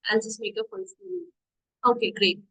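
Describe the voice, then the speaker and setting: A woman's voice: fairly high pitch, big pitch swings, normal speed, fairly bright tone, husky, low-quality recording. A young woman with an Indian accent, giving a nutrition webinar over a video call in a chatty, encouraging way and asking her audience questions. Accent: Indian English. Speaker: diabetes nutrition coach presenting a webinar. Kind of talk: online webinar talk, mixing English and Hindi. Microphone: computer mic on a video call.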